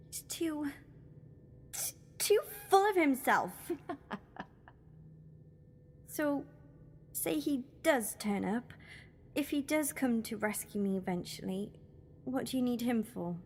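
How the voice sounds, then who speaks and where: A woman's voice: a little high, lively intonation, takes it slowly, long pauses, a little mellow, husky, full character voice. A young woman playing a feisty, wary captive in an audio drama, questioning and sceptical.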